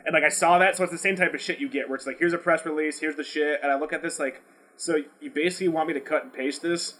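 Higher-voiced man swearing through casual podcast chat. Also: fast talker. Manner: profane, slangy, animated rambling. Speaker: podcast host.

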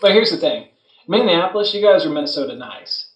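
Echoey, higher-pitched man bantering crudely. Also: a little fast. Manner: crude, joking, animated storytelling with acted quotes. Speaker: podcast co-host. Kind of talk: casual, profane podcast banter. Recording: roomy, echoing sound, off the mic.

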